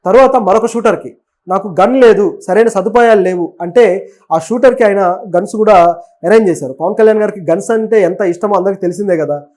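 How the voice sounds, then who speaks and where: Fast, high-pitched man presenting news. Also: darkish tone. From Telugu states of southern India. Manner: explanatory, opinionated, mixes in English words. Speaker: web news presenter and commentator. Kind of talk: conversational sports and political news commentary.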